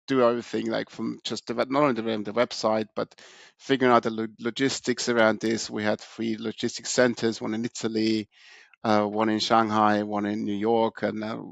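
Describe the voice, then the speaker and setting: A middle-aged man from Austria, a podcast guest telling his career story in a relaxed way, with many fillers and restarts. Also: fairly low pitch, moderate pace, vocal fry, dry recording. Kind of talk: casual interview storytelling.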